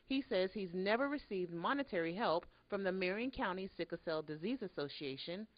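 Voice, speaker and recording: A woman's voice: expressive, fairly quick, no echo. A woman working as a TV news reporter, reading scripted news narration. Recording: dull, muffled low-fidelity audio.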